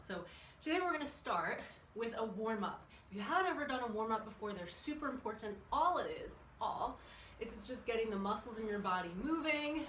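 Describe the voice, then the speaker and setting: A woman's voice: medium pitch, pushed and bright. A woman instructing a children's exercise class in a chatty, encouraging way, putting questions to the viewers as she goes. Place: indoor room with windows open. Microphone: camera at a distance. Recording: echoey room, distant microphone, background noise.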